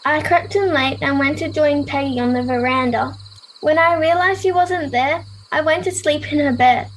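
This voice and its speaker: A woman's voice: sits high, normal speed, close to an everyday voice. A girl of ten or eleven playing a frightened, remorseful boarding-school pupil in an amateur radio play, plaintive and earnest. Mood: upset, remorseful. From Australia. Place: at home over a video call. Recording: noisy home video-call recording.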